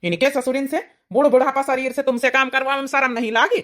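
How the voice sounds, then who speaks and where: A woman's voice: high voice, fairly even intonation, fast talker, fairly bright tone, voice pushed down. A woman voicing an indignant, protective grown village son in a cartoon comedy, blunt and scolding with an Awadhi accent. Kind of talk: comic cartoon skit.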